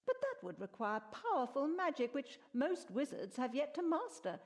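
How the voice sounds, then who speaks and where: A woman's voice: high-pitched, huge pitch swings, normal speed, heavily acted. A woman playing a proper, easily affronted wizard scholar in a fantasy video game, speaking formally and precisely. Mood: intrigued, analytical. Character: esteemed female wizard of Saradomin, proper and indignant scholar.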